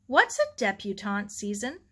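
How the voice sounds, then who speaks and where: A woman's voice: mid-range voice, big pitch swings, unhurried, fairly bright tone. A woman voicing a curious, earnest young girl in a storybook, eager and innocent.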